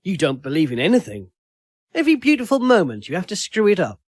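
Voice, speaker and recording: A man's voice: sits high, huge pitch swings, fast, no room sound, clean studio sound, pitched above the performer's own voice, exaggerated voice. A man voicing a hurt, accusing woman in an audio story, reproachful and pleading. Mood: bitter, hurt. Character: Steph, hurt, accusing young woman in a failing relationship.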